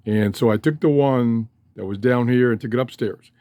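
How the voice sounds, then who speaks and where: A man's voice: medium pitch, normal speed, dark tone, no room sound. An older man talking casually in a folksy, rambling way, often interrupting himself as he hosts a hobby vlog. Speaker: host of a shortwave radio hobby channel. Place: cluttered room downstairs at home. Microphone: handheld cell phone.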